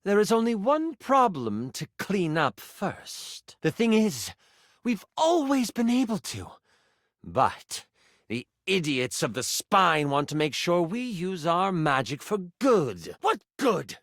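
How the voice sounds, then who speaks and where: Video game villainess, acted by a man: power-hungry enchantress, theatrical and drawn-out, menacing, very mobile pitch, dry close sound. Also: wildly swooping pitch, a bit bright, no room sound, full character voice. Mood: scornful. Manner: monologuing, grandiose.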